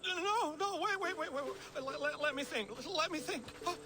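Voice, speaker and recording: A man's voice: very high voice, normal speed. A man voicing a nervous, wordy former monk posing as a lord in a video game, stammering when anxious. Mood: panicked. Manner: wordy, self-deprecating. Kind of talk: video game story acting.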